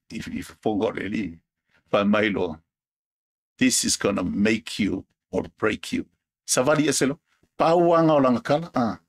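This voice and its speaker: A man from Samoa with a Samoan accent who hosts a podcast as a Marine veteran. He talks in a loose, halting monologue full of restarts and repeated words. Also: mid-range voice, gravelly, dry recording, clean studio sound.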